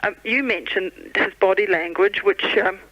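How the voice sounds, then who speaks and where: Radio guest: female, spontaneous, halting, emphatic, muffled and dry over the phone. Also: mid-range voice, no echo. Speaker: TV director, radio interview guest. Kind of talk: spontaneous reminiscing. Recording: dull, dry telephone line.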